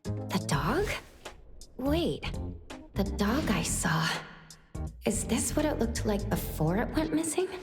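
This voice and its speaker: A calm young woman investigator in a video game. She speaks slowly, in a measured, thoughtful way. Music is clearly heard behind her. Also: fairly high pitch. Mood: pensive. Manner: measured, pondering.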